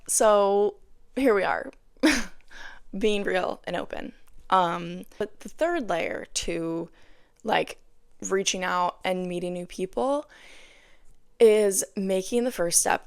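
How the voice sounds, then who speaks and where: A young woman hosting her own podcast, talking casually and sounding tired, with a raspy voice and lots of "like" in her speech. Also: mid-range voice, no echo, clean studio sound. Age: young adult. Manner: chatty, peppered with "like". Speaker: podcast host. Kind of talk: casual solo podcast chat.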